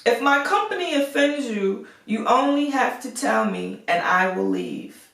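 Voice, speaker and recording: A gentle, self-pitying storybook beast , voiced by a woman, speaks with formal courtesy and sorrow. The delivery is unhurried, with some creak, heard in a roomy space. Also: fairly high pitch, very animated, exaggerated voice. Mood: gentle, polite. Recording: roomy echo, distant microphone.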